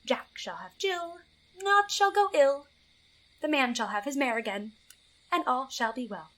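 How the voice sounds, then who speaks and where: Woman doing a knavish little fairy sprite, high voice, slow teasing rhymes, audio play, some background noise. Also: lively intonation, fairly bright tone, poor audio. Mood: incanting. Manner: theatrical, teasing, chanting in rhyme. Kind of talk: Shakespearean verse audio drama.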